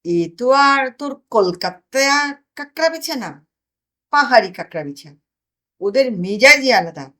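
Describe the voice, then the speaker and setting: A woman's voice: a little high, very animated, unhurried, long pauses, a bit bright. A woman voicing a cocky teenage boy who spins boastful tall tales in a scornful, emphatic way for an audio story.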